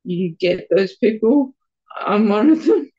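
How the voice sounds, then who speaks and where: A woman, a guest on an interview, speaking in a quiet, gravelly voice and stumbling a little over her words. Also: medium pitch, lively intonation, takes it slowly, muffled recording. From Australia. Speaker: guest with Parkinson's. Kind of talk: casual interview answers.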